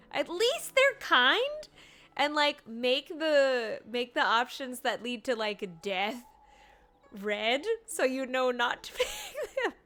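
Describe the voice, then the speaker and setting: A woman's voice: high-pitched, sing-song, slow pace, bright, forceful tone. A woman live-streaming a game, reacting aloud with nervous laughter and dramatic dread. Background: game music.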